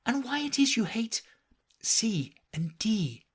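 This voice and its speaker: An eager, polite little girl with a high, bright voice, voiced by a man reading aloud. She chatters earnestly with lively rises and falls. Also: takes it slowly, slightly rough, full character voice.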